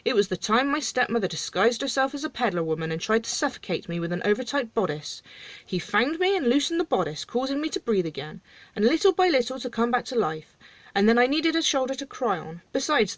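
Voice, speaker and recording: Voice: mid-range voice, big pitch swings, fairly quick, dry recording, pitched above the performer's own voice, close to an everyday voice. A storyteller voicing a brazen young fairy-tale princess who is self-pitying, plaintive and defensive.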